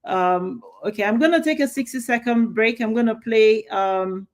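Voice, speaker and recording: A woman's voice: on the high side, normal speed. A woman with a Nigerian accent hosting a live talk show and interviewing guests in a casual, chatty way with fillers and restarts.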